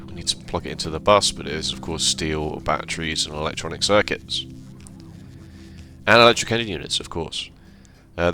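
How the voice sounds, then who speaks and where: A man giving relaxed, rambling commentary over his gameplay, sounding slightly hoarse and correcting himself as he goes. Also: very deep voice, a little hiss or hum. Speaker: let's play host.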